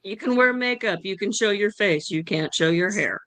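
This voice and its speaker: A woman's voice: mid-range voice, expressive, normal speed, a bit bright. A woman chatting casually on a stream, chiming in with jokes and anecdotes. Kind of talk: casual group chat on a stream.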